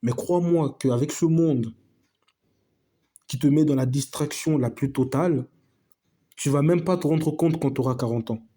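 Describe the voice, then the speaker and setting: A man's voice: a little high, measured pace, long pauses, warm tone, breathy edge. A man giving a casual opinion monologue to his viewers, emphatic and repetitive, full of slang and asides to his friends. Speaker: video host.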